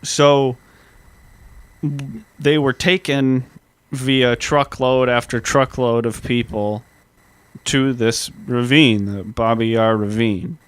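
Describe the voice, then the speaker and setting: A man co-hosting a casual history podcast, talking earnestly and reading historical accounts slowly. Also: medium pitch, steady tone, clean tone, no room sound. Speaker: podcast co-host.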